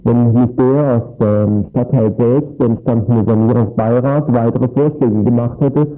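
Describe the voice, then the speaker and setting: A man reading a scripted radio background report in a formal, factual manner. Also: mid-range voice. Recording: tinny phone-like radio recording with music. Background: music.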